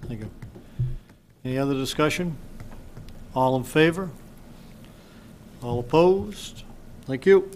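A man chairing a town council meeting, reading agenda items briskly into a desk microphone in a council chamber. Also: mid-range voice, animated, darkish tone, a little hiss or hum.

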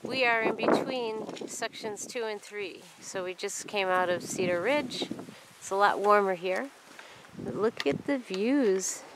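A woman's voice: mid-range voice, a little slow. A woman narrating a casual hiking video on a canyon trail, chatty and amazed by the views, recorded on a handheld camera outdoors. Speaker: hiker filming a trail vlog. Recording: loud wind noise on camera mic. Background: loud wind and outdoor noise.

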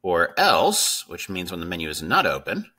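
A man's voice: sits low, wildly swooping pitch, normal speed, bright, forceful tone, husky. A man in his thirties giving an informal, step-by-step screen tutorial as a coding teacher, often checking in with the listener. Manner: informal, instructive, checks in with "right?" and "okay?". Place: indoors at a computer.

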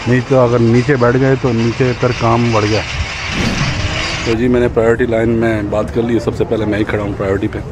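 Male travel vlogger at mid pitch, chatty, pointing things out, clear outdoor noise behind. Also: little pitch movement, a little fast. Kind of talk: casual travel vlog narration. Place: outdoors at a harbor and on a tour boat deck.

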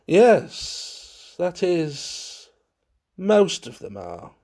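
A man voicing a grim, secretive collector in a storybook, speaking in a measured, hard, coldly courteous way. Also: high voice, big pitch swings, creaky, a little mellow, voice pushed up, heavily acted. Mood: guarded, sly. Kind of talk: dramatised storybook reading.

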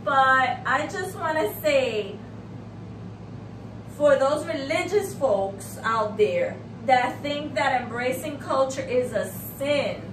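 A middle-aged woman, hosting a conversational talk show in a deliberate, emphatic way. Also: high-pitched, expressive. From Puerto Rico. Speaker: art show host, artist. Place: indoor room hung with paintings. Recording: echoey room, distant mic, noisy background.

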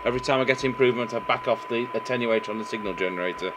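A man's voice: mid-range voice, rapid-fire. A man giving an informal hands-on technical demonstration, matter-of-fact, thinking aloud as he works at a bench. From United Kingdom. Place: indoors at a repair bench. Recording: clearly noisy workshop camera recording. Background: steady background noise.

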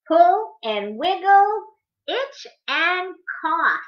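A woman's voice: extremely high pitch, very animated, very slow. A middle-aged woman hosting a preschool storytime, speaking and reading aloud in a sing-song, emphatic way. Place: indoors in a home living room. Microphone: webcam or laptop at arm's length. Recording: dull webcam audio, echoey living room.

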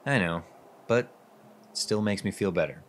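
A man's voice: deep voice, normal speed, natural voice. A man playing an earnest, jittery newlywed husband in a radio drama. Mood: easygoing.

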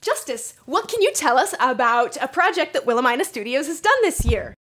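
A young woman hosting a light scripted promotional interview, prompting her colleagues in an animated way. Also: high voice, a little fast, slight background noise. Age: young adult. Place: rooms of a house and a barn. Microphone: boom mic held near.

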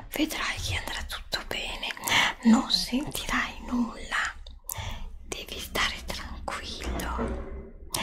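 Whispering woman in a soothing ASMR roleplay. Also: sits high. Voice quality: breathy whisper. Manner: slow, soothing reassurance with repeated words. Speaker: ASMR creator role-playing a dentist. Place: indoors at a desk before a green screen. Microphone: Blue Yeti desktop microphone, close up.

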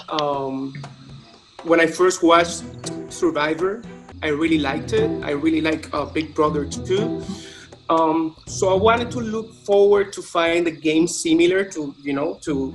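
A man in his twenties, born in Cuba, introducing himself earnestly over a video call with many fillers. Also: fairly high pitch. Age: 20s. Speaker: contestant.

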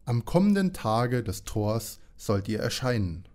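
A man's voice: medium pitch, big pitch swings, measured pace, darkish tone. A man playing a good-natured, slightly naive young detective in an audio drama parody. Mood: puzzled, pondering.

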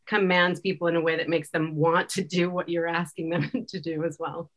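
A woman's voice: fairly low pitch, level tone, normal speed. A woman speaking conversationally and emphatically as an interviewed director on a video call. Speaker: podcast director and actor interviewed. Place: indoor room, video call. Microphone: video call microphone.

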